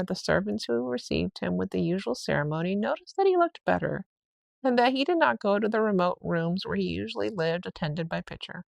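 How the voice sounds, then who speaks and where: A woman's voice: medium pitch, vocal fry, no room sound. A woman narrating a classic storybook as a bedtime read-aloud. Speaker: story reader.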